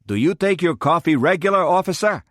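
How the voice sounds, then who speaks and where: A man's voice: fairly high pitch, lively intonation, brisk, fairly bright tone, no room sound, clean studio sound, pitched above the performer's own voice. A man voicing a wealthy, balding American man with a New York accent. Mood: friendly. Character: pear-shaped, bald American man, rich.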